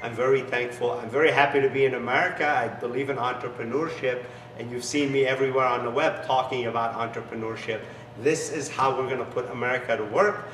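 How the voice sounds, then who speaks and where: A man's voice: steady tone, fast, a bit bright, slight background noise. Enthusiastic non-native man in echoey garage. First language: not English. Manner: enthusiastic salesy pitch with broken phrasing. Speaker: business founder. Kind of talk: promotional demonstration. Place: large garage workshop. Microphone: camera mic from a few steps away. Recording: echoey garage, distant mic.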